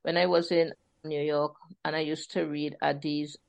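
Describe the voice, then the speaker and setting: A woman from Trinidad and Tobago, an author chatting in an interview, animated and acting out the voices she quotes. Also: low voice, moderate pace, gravelly. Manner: animated, laughing storyteller who acts out quotes. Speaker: author guest. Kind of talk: casual interview chat.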